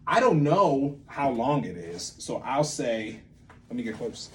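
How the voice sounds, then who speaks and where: A man's voice: medium pitch, big pitch swings, unhurried, slight background noise. A man giving an informal whiteboard lesson, thinking aloud in a rambling way and often interrupting himself. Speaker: creative methods teacher and playwright.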